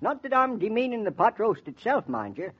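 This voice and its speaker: An actor playing a kindly old rustic neighbour with a rural American accent and folksy, rambling speech in 1940s radio drama style. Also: male voice, high voice, sing-song, brisk, slightly rough, heavily acted. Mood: earnest, reflective. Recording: dull 1940s radio recording.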